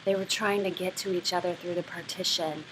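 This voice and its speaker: A woman teaching a writing lesson, explaining and reading passages aloud in an expressive way. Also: lowish voice, brisk, noisy background. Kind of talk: literary craft lesson with read-aloud passages.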